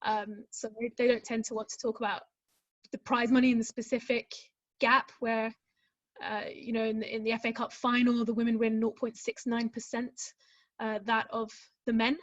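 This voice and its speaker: A woman answering a question as a panelist in a conversational, halting and measured way. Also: on the high side, little pitch movement, dry recording. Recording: slightly dull video call audio.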